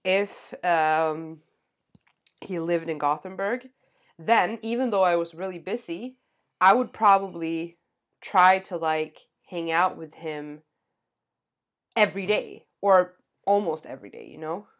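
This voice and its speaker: A young woman co-hosting a podcast, talking fast and animatedly with lots of fillers. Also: lowish voice. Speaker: podcast co-host. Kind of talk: casual chat.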